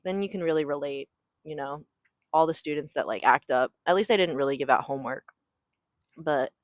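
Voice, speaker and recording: Low-voiced young woman, rambling casual chat. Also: no echo. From raised in Southern California. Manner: rambling, filler-heavy, frequent "like" and "um". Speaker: podcast host. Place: home near an airport.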